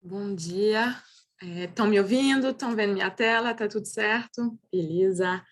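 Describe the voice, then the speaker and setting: A woman's voice: mid-range voice, normal speed. A woman with a French accent, a native French speaker, presenting in a video-call roundtable with many hesitations and restarts. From France. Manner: hesitant, frequent filler "é" and restarts. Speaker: panelist.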